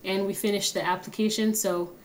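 A young woman, a guest telling her story in an interview. Her voice sits at a middling pitch for a woman. She speaks in a friendly, steady way and laughs easily. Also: fairly quick, poor audio. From Kenya. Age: young adult. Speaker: guest, app founder.

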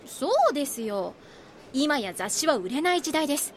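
Eager high-pitched young rookie reporter. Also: female voice, animated, normal speed. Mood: enthusiastic pitching. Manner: earnest, insistent. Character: eager young female rookie magazine reporter, ambitious and pushy. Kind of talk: Japanese voice drama acting.

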